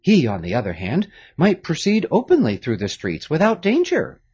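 A man's voice: fairly high pitch, wildly swooping pitch, moderate pace, a little mellow, husky, highs cut off, voice pushed up, full character voice. A man voicing a stern, commanding aristocratic French father in an audiobook, speaking firmly. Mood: grave. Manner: firm, authoritative. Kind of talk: audiobook character reading.

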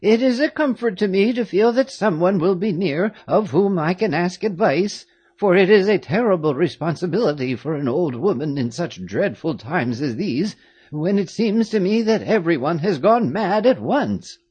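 A narrator voicing a fussy, fiercely loyal old woman who once nursed the family, high-pitched and chattering in exclamations. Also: lively intonation, moderate pace, darkish tone, slightly rough, dry recording, muffled recording. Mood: fussing, warm. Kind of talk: audiobook character reading.

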